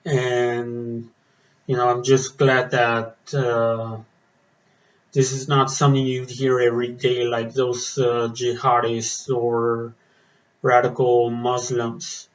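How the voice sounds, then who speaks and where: A man talks slowly through a casual reaction vlog, his voice slightly raspy from the cold. His pitch stays flat as he stops and restarts, filling gaps with ums and uhs. The recording sounds a little dull and muffled. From Spain. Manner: hesitant, many fillers and restarts. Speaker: metal vlogger. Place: outdoors in the cold.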